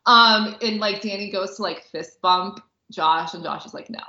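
A woman's voice: medium pitch, moderate pace, sharp tone, slight background noise. A woman co-hosting a podcast in casual chat, gushing informally about what she enjoys. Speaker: podcast co-host and film critic. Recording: roomy, echoey, slightly dull audio.

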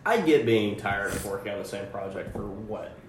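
A man's voice: on the low side, animated, moderate pace. A young man co-hosting a casual chat, joking and easygoing, laughing often. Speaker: podcast co-host. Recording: echoey room with faint hiss.

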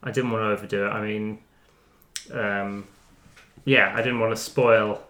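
A man's voice: fairly low pitch, level tone, normal speed, pushed and bright. A man chatting casually, hesitant and self-deprecating about his own efforts, recorded in a reverberant room. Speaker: art challenge participant. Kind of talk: casual chat.

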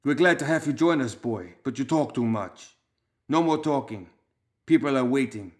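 A man's voice: mid-range voice, lively intonation, moderate pace, pitched above the performer's own voice, close to an everyday voice. Storybook gang leader, voiced by a male narrator: hard Balkan accent, growling, suspicious, commanding. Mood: commanding, menacing. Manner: growling, hard-edged, clipped.

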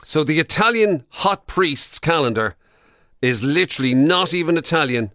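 An Irish man with a slightly high, very lively voice, bantering comically as a radio co-host. The sound is close and dry. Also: moderate pace, no echo.

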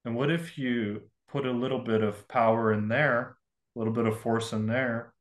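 A man's voice: mid-range voice, steady tone, normal speed. A young man from Russia, a painter, talking as a podcast guest in a rambling, candid, self-mocking way. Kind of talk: casual podcast conversation.

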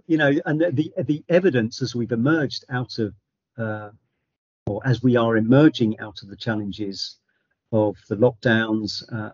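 A man speaking as the outgoing chair of a charity in an interview, measured and full of ums and restarts. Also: mid-range voice, slightly rough, no room sound, lo-fi sound. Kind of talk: interview answer.

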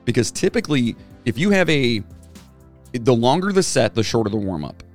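A man's voice: mid-range voice, big pitch swings, moderate pace, a little mellow, faint music behind. A man co-hosting a casual podcast chat, a former band singer who rambles with plenty of fillers and restarts. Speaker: podcast co-host.

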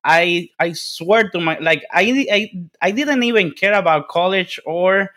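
Dominican man with a high voice, podcast guest, eager, stumbling over his words. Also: measured pace. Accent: Dominican Spanish. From Dominican Republic. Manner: energetic, repeats words and restarts, casual swearing. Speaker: guest, business development consultant. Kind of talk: casual interview storytelling.

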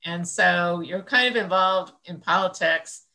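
A woman in her sixties talks in a loose, reflective way and fills her pauses often. Her voice sits fairly low, with a gravelly edge and a bright, forceful tone. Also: rough recording. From central Illinois, United States. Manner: rambling and thoughtful, with many fillers. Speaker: interview guest. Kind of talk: reflective conversational interview.

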